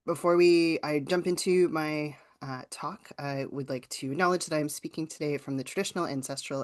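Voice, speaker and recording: A writing teacher from Ontario with a Canadian accent, giving an informal online workshop presentation in a conversational, explanatory way. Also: medium pitch. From rural southwestern Ontario, Canada.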